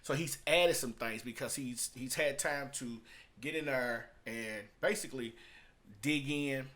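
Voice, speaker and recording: A man's voice: medium pitch, a little slow, pushed and bright. Emphatic man giving a casual review. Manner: emphatic, repetitive, colloquial. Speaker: vlogger reviewing a business book. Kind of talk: casual unscripted review and explanation.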